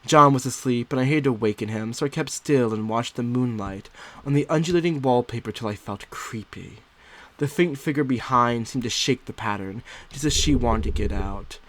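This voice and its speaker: A man's voice: medium pitch, moderate pace, fairly bright tone, close to an everyday voice. A man voicing an anxious, secretive young wife in dramatic, theatrical first-person literary narration. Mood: uneasy, hushed.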